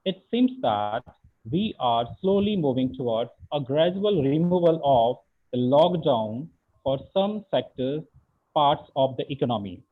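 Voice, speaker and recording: A man's voice: medium pitch, expressive, a little slow. A man hosting a webinar, reading a formal welcome speech with an occasional filler. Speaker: webinar host. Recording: tinny phone-like line.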